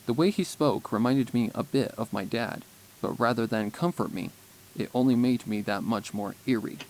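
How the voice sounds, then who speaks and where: A man's voice: mid-range voice, normal speed, poor audio. A man reading scripted first-person fan fiction as a story narrator.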